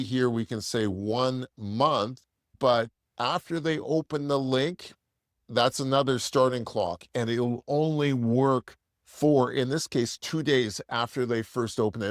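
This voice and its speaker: A man's voice: medium pitch, dry recording, clean studio sound. A man presenting a casual product demo in a plain-spoken, explanatory, conversational way.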